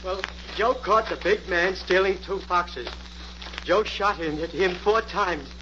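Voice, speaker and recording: Frightened, high-pitched simple man pleading. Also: takes it slowly, bright, forceful tone, exaggerated voice. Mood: shaken, agitated. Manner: panicky, pleading, repeats himself. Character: frightened, simple-minded farm witness pleading his innocence. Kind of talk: 1940s radio acting. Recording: muffled, noisy old-time radio recording.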